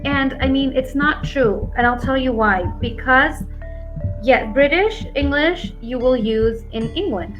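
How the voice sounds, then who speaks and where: A woman's voice: high-pitched, unhurried, fairly bright tone. Frank American woman tutoring on a call. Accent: neutral American. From United States. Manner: frank, often uses "like" as a filler. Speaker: online English tutor. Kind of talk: casual one-on-one lesson chat. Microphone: video call. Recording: dull lo-fi call audio with faint background music.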